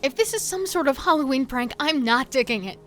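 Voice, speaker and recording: A woman's voice: high voice, animated, brisk, soft background music. A woman playing a confused, insistent, frightened sister who pleads urgently in an audio horror drama. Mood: frantic, distressed.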